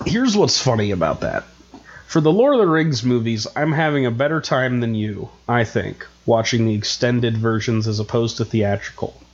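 A man's voice: mid-range voice, expressive, normal speed. Stuffed-up nasal man, dull lo-fi sound. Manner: rambling, self-mocking, many fillers. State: stuffed up with a cold. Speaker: podcast co-host. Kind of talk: casual chat.

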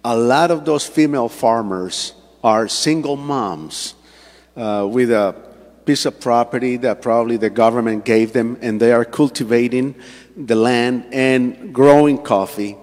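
Slow-speaking Colombian pastor in a church. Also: male voice, medium pitch, darkish tone, long reverb tail. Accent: Colombian Spanish. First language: Spanish. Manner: halting, with many fillers and restarts. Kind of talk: church sermon. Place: church sanctuary.

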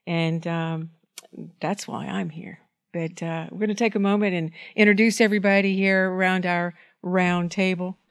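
Host, woman, low-ish and easygoing. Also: smooth, no echo, studio quality. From Red Bay, Alabama. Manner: relaxed, unhurried, frequent uh fillers. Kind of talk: casual talk show hosting.

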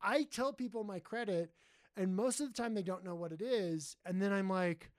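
A young man chatting as a podcast guest, quick with jokes at his own expense. He is close on the mic in a dead, dry space. He slides into loud mock voices for comic effect. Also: no echo. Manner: joking, self-deprecating, bursts into loud high mock voices. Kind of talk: casual chat.

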